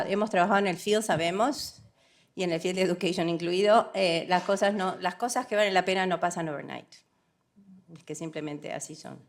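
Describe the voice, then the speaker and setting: A woman from Argentina giving a semi-improvised conference talk, frank and emphatic, often correcting herself and mixing English words into her Spanish. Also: mid-range voice. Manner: halting, self-correcting, checks in with rhetorical tag questions. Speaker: conference speaker, development economist.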